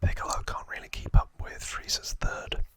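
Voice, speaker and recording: A man hosts ASMR in a constant breathy whisper with a bright, crisp hissing edge. He tells trivia informally, feeling his way through it from memory. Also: moderate pace. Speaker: ASMR host.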